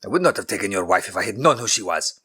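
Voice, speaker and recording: A man voicing an angry ancient king who speaks in a forceful, accusing way. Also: fairly low pitch, expressive, fast talker, husky, heavily acted. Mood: stern.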